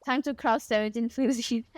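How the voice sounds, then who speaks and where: A woman's voice: a little high, fairly even intonation, normal speed, no room sound, soft background music. Chatty young woman, mixed Singaporean accent. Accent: mixed, partly Singaporean English. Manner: chatty and rambling, with frequent laughs and playful pleading. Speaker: streamer. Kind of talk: casual livestream chat while gaming.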